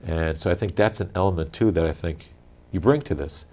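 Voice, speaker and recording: A man's voice: sits low, brisk, mellow. A man interviewing a band, supportive and rambling, with many fillers and short acknowledgments.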